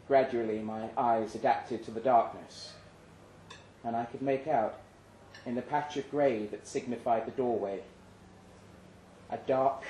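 A man reading literary fiction aloud at a live event, measured and suspenseful, with deliberate pauses. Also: mid-range voice, fairly even intonation, warm tone. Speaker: story reader. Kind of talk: dramatic literary narration, read aloud live. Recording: roomy live venue, faint background noise.

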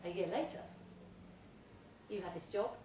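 A woman reads a short story aloud at a live event, delivering it theatrically in measured, deliberate phrases. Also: on the low side, mellow. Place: pub event room, read aloud live. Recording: roomy, distant live recording with faint noise.

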